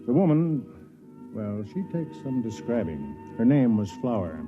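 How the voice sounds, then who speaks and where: Older officer on muffled vintage radio. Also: male voice, mid-range voice, sing-song, measured pace. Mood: reflective. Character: self-deprecating, kindly older man, senior release officer and narrator. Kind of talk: 1950s radio acting. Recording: dull 1950s radio broadcast, music behind.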